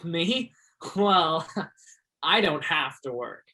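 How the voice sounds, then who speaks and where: An actor in a stage play playing an easygoing, obliging young roadhouse souvenir seller with a friendly, agreeable manner. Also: male voice, on the high side, lively intonation, extremely slow, sharp tone, full character voice, low-quality recording. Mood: friendly, casual.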